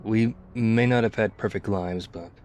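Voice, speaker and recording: An actor playing a tough, weary, loyal zombie apocalypse survivor in an intimate ASMR roleplay, speaking conversationally. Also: male voice, lowish voice, a little slow, a little mellow, a little hiss or hum, natural voice. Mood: weary, reflective.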